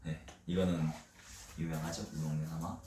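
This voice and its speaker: A young man chatting in a relaxed, teasing way during a casual livestream, sometimes drawing words out. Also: a bass voice, normal speed, distant mic. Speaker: idol group member on a livestream. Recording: echoey room, off-mic, faint noise.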